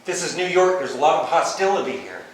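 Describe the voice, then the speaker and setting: A man plays a jealous, grumpy husband in a stage comedy. His lines are sardonic and clipped, with lively pitch, heard from afar in a very echoey room. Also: quick pace, slight background noise, close to an everyday voice. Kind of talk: stage comedy rehearsal acting. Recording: very echoey room, distant microphone.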